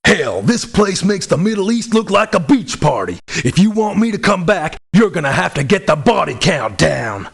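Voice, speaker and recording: A man playing a gruff, blunt, hot-tempered mercenary for hire in a video game, with a gravelly, husky voice. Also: big pitch swings, moderate pace, hardly any pauses, soft background music, heavily acted. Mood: angry, loud. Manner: blunt, cranky, folksy swearing. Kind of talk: video game character voice lines. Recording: low sample rate game audio clips.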